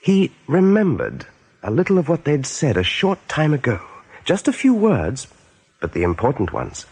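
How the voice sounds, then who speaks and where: A man's voice: medium pitch, huge pitch swings, moderate pace, darkish tone, breathy edge, dry recording. A man narrating a radio play story expressively and with suspense.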